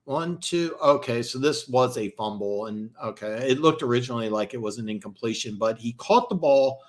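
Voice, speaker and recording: Guy calling football live off his stream, sore throat going hoarse, gets super excited on big plays, lotta ums. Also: normal speed. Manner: excitable, jumps high and loud on big plays, lots of fillers. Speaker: amateur play-by-play commentator. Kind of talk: live play-by-play and reaction commentary.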